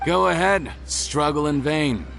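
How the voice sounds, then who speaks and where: An actor playing a smug, ruthless fugitive crime boss in a video game, with a mocking, theatrical sneer. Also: male voice, on the high side, measured pace, gravelly. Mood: smug, menacing. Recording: game music behind the voice.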